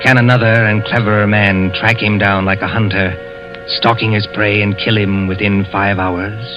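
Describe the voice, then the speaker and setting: A man's voice: lowish voice, little pitch movement, moderate pace, lo-fi sound. A man narrating as announcer of a 1940s radio drama, with dramatic, declamatory delivery. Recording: old radio broadcast, loud music behind.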